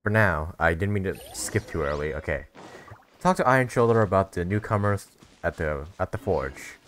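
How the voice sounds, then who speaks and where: Very low-voiced woman gaming casually. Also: animated, normal speed, a little hiss or hum. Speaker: game streamer. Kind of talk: casual gameplay commentary. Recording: faint game music behind.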